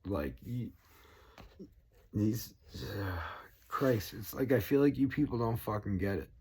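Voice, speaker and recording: A man's voice: on the low side, animated, slow pace, darkish tone, husky. A man with a Boston accent ranting at length, swearing constantly and rambling. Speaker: political vlogger. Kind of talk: profane rambling rant to camera.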